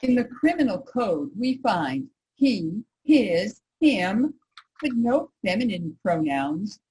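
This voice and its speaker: A woman playing an earnest, resolute nineteenth-century suffragist, reciting in a measured, emphatic way for reader's theater. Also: medium pitch, sing-song, dark tone, slightly rough, heavily acted, low-quality recording. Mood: firm, indignant.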